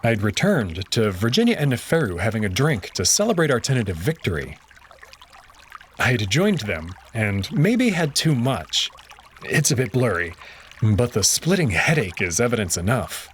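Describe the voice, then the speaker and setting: A man narrating a story in the first person in an expressive storytelling manner. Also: medium pitch, normal speed, a little hiss or hum. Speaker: audio drama narrator.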